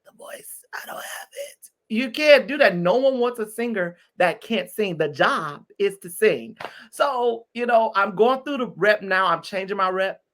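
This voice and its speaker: A man in his thirties from Detroit, a guest singer chatting in an interview, expressive and animated. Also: high voice, measured pace. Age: 30s. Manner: lively, expressive, swings from loud exclamations to soft asides. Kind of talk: casual interview chat.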